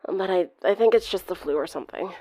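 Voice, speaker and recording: A woman voicing a sick, weary teenage girl in a cartoon story with a gravelly, throaty voice. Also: on the low side, quick pace, mellow, dry recording. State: sounding ill.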